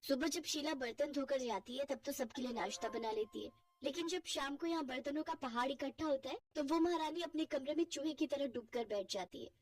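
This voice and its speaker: A woman's voice: high-pitched, a little fast, far from the mic, close to an everyday voice. A woman voicing a bossy, nagging young housewife who scolds huffily in a family cartoon. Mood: irritated. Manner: scolding, huffy. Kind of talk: Hindi family cartoon voice acting.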